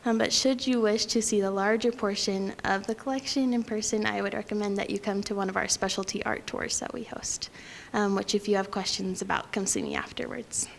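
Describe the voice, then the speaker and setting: A young woman from Utah giving a prepared lecture at a podium, talking quietly with frequent ums. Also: mid-range voice, steady tone, a little fast, creaky, a little hiss or hum. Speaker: guest lecturer.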